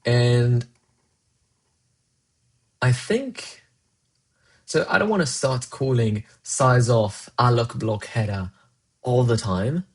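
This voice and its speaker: A man's voice: medium pitch, takes it slowly, pauses often, slightly rough. Man thinking aloud while coding. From Colombia. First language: Spanish. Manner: muses aloud, answers chat between tasks. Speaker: programmer streaming live coding.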